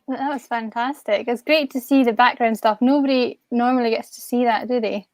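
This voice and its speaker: A woman's voice: a little high, fairly even intonation, brisk, a little mellow, dry recording. A woman co-hosting a live stream, friendly as she reads out viewer questions. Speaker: co-host.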